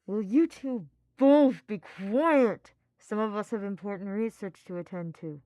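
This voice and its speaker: A woman's voice: mid-range voice, measured pace, warm tone, no room sound, close to an everyday voice. A sleepy young scholar voiced by a woman narrator from a fantasy tale. He is pedantic and snappish, drowsily scornful of those around him. The sound is dry. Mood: sleepy annoyance.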